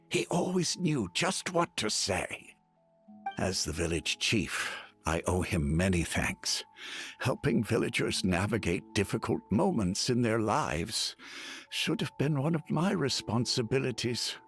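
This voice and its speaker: An actor voicing a humble, soft-spoken elderly village chief in a video game who is losing his eyesight. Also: mid-range voice. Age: older. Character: elderly half-blind male village chief, gentle and humble. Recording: faint game music behind.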